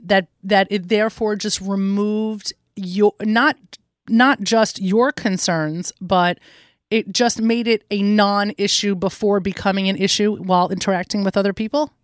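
A woman's voice: medium pitch, steady tone, unhurried, dry recording, clean studio sound. A woman interview host who asks long, thoughtful, winding questions with some fillers. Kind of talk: interview conversation.

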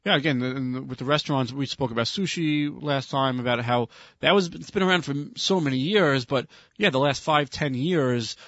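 A man's voice: medium pitch, normal speed, a bit bright, dry recording. Agreeable man chatting on dull radio audio. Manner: agreeable, affirms often, rambling sentences. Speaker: radio co-host. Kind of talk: casual radio chat. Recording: dull dry studio radio sound.

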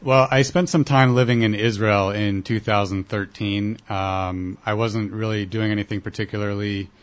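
Young male guest, low voice, halting delivery, muffled lo-fi audio. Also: fairly even intonation. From Columbus, Ohio. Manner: hesitant, many uh fillers. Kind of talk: casual interview answers. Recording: dull lo-fi broadcast audio.

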